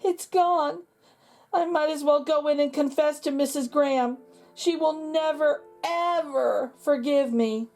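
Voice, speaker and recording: A woman's voice: high-pitched, a little slow, darkish tone, voice pushed up. A woman voicing a frantic little girl in a storybook, whining and sobbing her lines. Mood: choked-up despair. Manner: whiny, sobbing. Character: frantic, tearful little girl who lost her library book.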